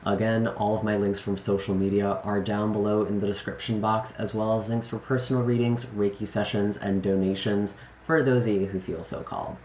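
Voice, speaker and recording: A man with a slightly raspy, lowish voice talks to his viewers in an earnest, unhurried way, pausing on fillers as he goes. He is getting over an illness. The sound is echoey, with faint room hiss behind it. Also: fairly even intonation. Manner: gentle, earnest, many fillers. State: recovering from illness. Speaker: tarot reader. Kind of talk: casual channel intro.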